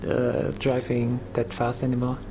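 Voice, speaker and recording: A man's voice: medium pitch, completely flat delivery, background noise. A man working as co-commentator on a sim race. He gives careful, hedged remarks with frequent fillers. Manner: measured, hedging, with fillers.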